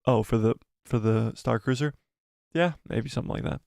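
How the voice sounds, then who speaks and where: A man hosting a casual live stream, rambling through commentary and reading out viewer comments, his voice going hoarse. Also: medium pitch, moderate pace, darkish tone, dry recording, clean studio sound. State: losing his voice.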